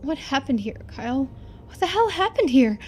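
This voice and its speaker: A woman's voice: high voice, big pitch swings, moderate pace, darkish tone, faint music behind, voice pushed up. A woman voicing a shaken young woman in a horror game, anxious and hesitant. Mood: shaken, disgusted. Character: shaken young woman exploring a creepy house with camera.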